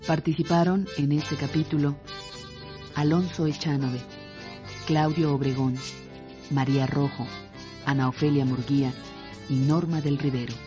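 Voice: medium pitch, steady tone, measured pace, long pauses, music in the background. An announcer reading scripted radio drama credits in a formal, clipped list style.

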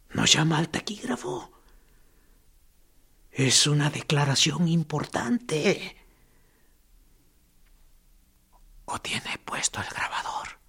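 A worn-out male prisoner in a radio play, speaking in a raspy, breathy half-whisper. His words come slow and gravelly, sharp-edged with bitter mockery. He sounds drained and defiant. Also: mid-range voice, full character voice. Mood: weary defiance. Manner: bitter mocking laughter. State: exhausted from torture. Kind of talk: radio drama acting.

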